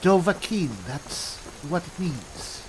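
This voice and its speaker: A man playing a skeptical high elf spellsword, musing and sardonic in a roleplayed video game. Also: slow, noisy background. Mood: musing, wry. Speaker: player roleplaying his game character.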